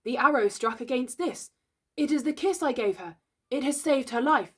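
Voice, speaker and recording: A woman as a young boy captain, stern, cocksure, imperious orders, storybook character, lively pitch swings. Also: normal speed, slightly rough, exaggerated voice. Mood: earnest.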